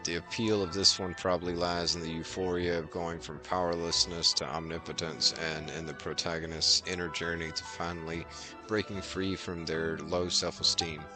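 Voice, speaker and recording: A man's voice: low-pitched, little pitch movement, moderate pace, music in the background. A man streaming a video game and reading its text aloud.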